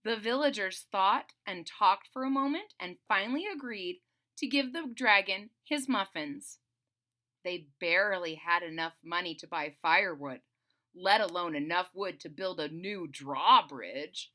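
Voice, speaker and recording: A woman reading a children's story aloud in a theatrical, playful way, pausing to explain things simply to young listeners. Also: on the low side, sharp tone. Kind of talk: expressive children's read-aloud with simple asides.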